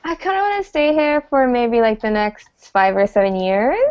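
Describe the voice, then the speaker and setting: A shy, soft-spoken young woman from Texas, a guest chatting on a video call. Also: fairly high pitch, sing-song, normal speed, muffled recording, slight background noise. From Texas, United States. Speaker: guest, English teacher. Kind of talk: casual video call chat.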